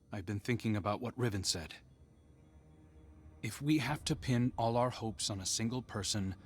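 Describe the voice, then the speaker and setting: A young game hero played by a man with a fairly low voice over faint ambient noise. He is sincere and persuasive, earnestly offering himself for danger and hesitating here and there. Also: lightly acted. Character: earnest, self-sacrificing young hero pleading his case. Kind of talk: naturalistic game character acting.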